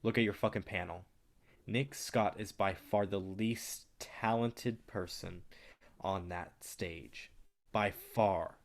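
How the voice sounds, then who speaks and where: A man's voice: deep voice, slow. A man acting in a staged play reading as a brash, cocky film distributor, swaggering and persuasive with plenty of profanity. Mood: opinionated. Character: brash, cocky, foul-mouthed male film distributor, smooth manipulator.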